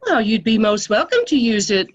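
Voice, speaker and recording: A woman's voice: fairly high pitch, sing-song, normal speed. An actress playing a hospitable, folksy country store woman in a radio comedy-drama, in a slightly muffled recording. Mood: welcoming.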